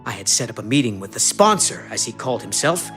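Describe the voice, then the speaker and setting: Guy doing a snooty nervous scholar type in a fantasy game, pitch jumps all over, defensive, faint music. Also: sing-song, fast, close to an everyday voice. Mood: subdued, fearful. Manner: pompous, flustered. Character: haughty, nervous, defensive male book collector and cult enthusiast. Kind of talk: video game dialogue acting.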